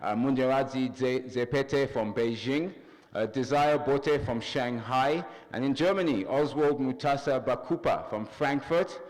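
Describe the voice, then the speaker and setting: A man's voice: medium pitch, measured pace. Male TV host, upbeat announcer delivery, reverberant studio. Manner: upbeat, announcer-like reading of credits and shout-outs. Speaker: TV talk show host. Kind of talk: hosting a panel broadcast.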